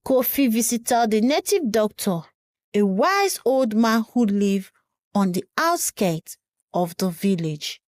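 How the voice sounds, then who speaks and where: A woman narrating a scripted folktale in a measured, dramatic storytelling manner. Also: mid-range voice, no room sound, studio quality.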